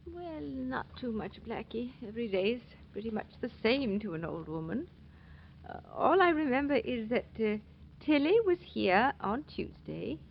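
High-voiced, flustered old woman. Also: big pitch swings, measured pace, gravelly, exaggerated voice. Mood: flustered, earnest. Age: older. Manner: flustered, hesitant, apologetic. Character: fretful, forgetful, kindly old aunt. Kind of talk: 1940s radio acting. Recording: dull, noisy old 1940s radio recording.

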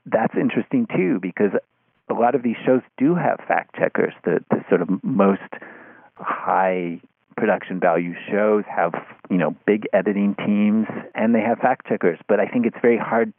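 A man speaking as an interview guest in analytical conversation, halting and circling back over his phrases. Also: fairly low pitch, no echo. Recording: narrow phone-like line.

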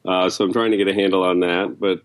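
A man's voice: low-pitched, level tone, fast talker. A man, an editor, answering questions casually as a guest in an interview.

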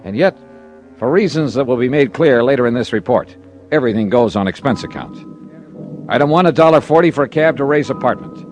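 An American man playing a wry, hard-boiled insurance investigator in a 1950s radio detective drama, brisk in manner. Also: mid-range voice, expressive, natural voice. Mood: wry narrating. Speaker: lead actor and narrator of a radio detective series. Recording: vintage radio recording with music.

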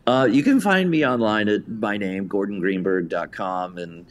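A man's voice: on the low side, moderate pace. A man speaking as an interview guest, answering in a reflective, earnest, conversational way. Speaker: interview guest, theatre writer and director.

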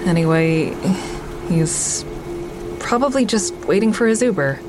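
A woman's voice: medium pitch, animated, measured pace, music in the background, lightly acted, low-quality recording. An actress playing a guarded, introspective woman who narrates her own story in an audio drama, with wry understatement. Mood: uneasy, inward. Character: guarded woman, a stand-up comic and crisis-line volunteer, narrating. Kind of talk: first-person audio drama narration and dialogue.

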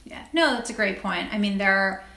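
A woman speaking as a physician guest in an interview, thoughtful and reflective, often halting and repeating phrases as she finds her words. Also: medium pitch, animated, sharp tone. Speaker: guest, critical care physician. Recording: echoey, roomy sound.

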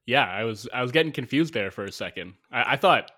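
A man's voice: mid-range voice, lively intonation, a little fast, bright, forceful tone. A man co-hosting a sports podcast, chatting casually in a teasing, emphatic and opinionated way. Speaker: podcast co-host.

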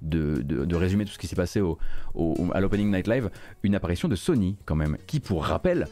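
A man's voice: low voice, lively intonation, brisk, dark tone. A man hosting a live video game news recap, giving opinionated commentary with emphatic repetition. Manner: emphatic, repeats words for stress.

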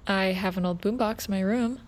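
A woman's voice: medium pitch, a little fast, a little hiss or hum, natural voice. A woman in an audio drama playing a guarded, awkward, grieving teenage girl with a hesitant, earnest manner. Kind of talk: naturalistic audio drama acting.

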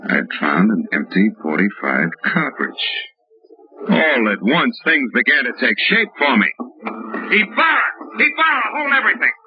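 An actor playing a tough, wry, world-weary American private detective in 1940s radio drama style. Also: male voice, mid-range voice, wildly swooping pitch, moderate pace, slight background noise. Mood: wry, brooding. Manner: wry, hard-boiled, dramatic. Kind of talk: 1940s radio drama acting, hard-boiled narration. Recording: muffled old radio recording with music.

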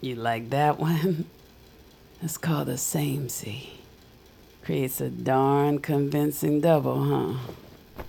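A woman playing a weary, secretive witch in an audio drama, sounding breathy and exhausted. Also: rumbling low, steady tone, slow pace, long pauses, mellow, full character voice. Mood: drained, wry. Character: weary, secretive witch, the hero's loyal friend. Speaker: supporting actor. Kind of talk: audio fiction acting. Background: music.

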